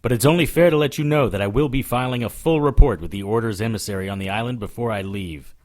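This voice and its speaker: A man's voice: medium pitch, fast, a little mellow, natural voice. A man playing a wry, defiant supernatural investigator who narrates his case in an audio drama, dry and firm. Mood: restrained. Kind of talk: first-person detective audio drama.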